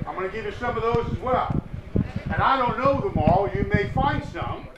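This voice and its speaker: A man's voice: high-pitched, animated, normal speed, roomy sound. A man from Rhode Island with a Rhode Island accent, a golf instructor giving a chatty, joking clinic outdoors. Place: outdoors at a golf practice area. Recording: distant, muffled, noisy outdoor recording.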